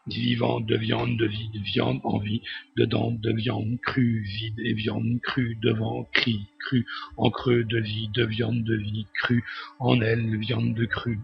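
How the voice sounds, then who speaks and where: A man's voice: fairly low pitch, slow, gravelly. A man reciting a spoken-word poem as an incantatory litany, in short, repeated, fragmented phrases. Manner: repetitive fragmented short phrases, monotone chant. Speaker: poet reciting his own text. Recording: dull lo-fi audio with faint noise.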